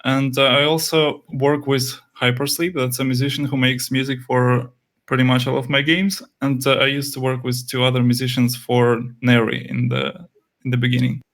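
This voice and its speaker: A man's voice: mid-range voice, level tone, normal speed, a bit bright. A young man whose first language is Russian, a game developer answering interview questions hesitantly, with many fillers and restarts.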